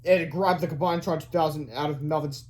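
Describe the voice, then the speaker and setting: A man narrates a children's book in a read-aloud style. His voice is a little higher than average for a man. The delivery is expressive storytelling. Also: brisk, a little hiss or hum.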